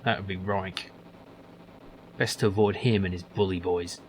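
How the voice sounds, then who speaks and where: A man voicing a gruff, grumpy older male factory worker, grumbling and dismissive. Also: deep voice, steady tone, moderate pace, a little hiss or hum, pitched below the performer's own voice, lightly acted, low-quality recording. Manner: grumbling, dismissive, calls others son.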